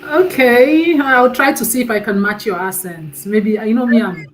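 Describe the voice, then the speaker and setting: A woman's voice: fairly high pitch, normal speed. A woman with a Nigerian accent, from Nigeria, hosting an online panel on a video call in a chatty way, often restarting her sentences. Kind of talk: casual live-stream hosting. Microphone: computer mic on a video call.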